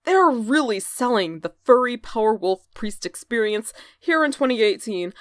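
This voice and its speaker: A woman hosting a scripted metal news podcast, excitable and jokey, cursing now and then and breaking into loud bursts of enthusiasm. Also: mid-range voice, clean tone, no echo, clean studio sound. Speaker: podcast host.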